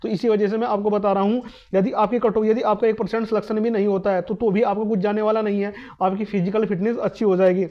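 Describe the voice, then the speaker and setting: A man explaining exam cutoff scores to students in a fast, repetitive, emphatic talk. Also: high voice, level tone, highs cut off. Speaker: exam coaching YouTuber.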